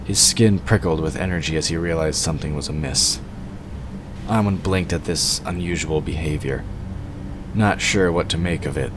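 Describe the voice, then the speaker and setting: A man with a very deep voice narrates a fantasy story in scripted audio drama style. There is clear background noise, with outdoor nature effects layered behind him. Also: moderate pace. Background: forest ambience sound effects.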